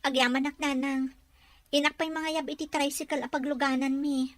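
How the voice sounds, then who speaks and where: A woman with a Filipino accent playing a gentle, dutiful young wife in an audio drama. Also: high voice, level tone, moderate pace, dry recording, natural voice. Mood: earnest. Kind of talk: Ilocano audio drama acting.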